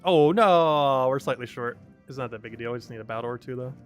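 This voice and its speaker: A man's voice: mid-range voice, fast talker, over music. A young man from New Jersey with a New Jersey accent, chatting casually and rambling as he commentates a game stream, chuckling often.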